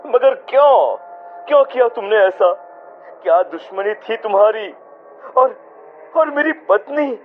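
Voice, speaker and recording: Man acting high-voiced earnest young husband, muffled. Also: expressive, a little slow, long pauses, a little hiss or hum. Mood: frightened, pleading. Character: caring young husband, bewildered and frightened. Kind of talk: cartoon horror dialogue acting. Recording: muffled audio with faint music.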